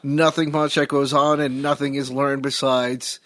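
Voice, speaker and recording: A man's voice: medium pitch, little pitch movement, unhurried, fairly bright tone, no echo, studio quality. A man co-hosting a casual movie podcast, reading out budget figures plainly and steadily. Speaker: podcast co-host.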